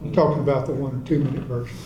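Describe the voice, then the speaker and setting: A man's voice: medium pitch, steady tone, rapid-fire, dull audio, crowd noise. A man moderating a panel, asking easygoing conversational questions. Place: event room in a library. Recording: echoey room with faint noise.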